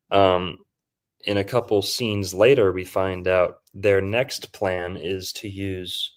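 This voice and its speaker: A man hosting a podcast, casually breaking down a movie in a loose, rambling way with lots of fillers. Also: low voice. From Florida. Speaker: podcast host.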